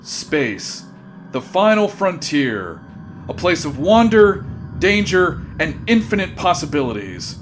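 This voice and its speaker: A man's voice: high-pitched, very animated, takes it slowly. A man narrating a scripted sci-fi comedy in a theatrical, tongue-in-cheek way. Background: faint music.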